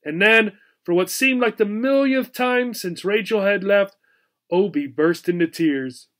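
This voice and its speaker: High-pitched male storybook narrator. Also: measured pace, a bit bright. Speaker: teacher reading a children's book aloud.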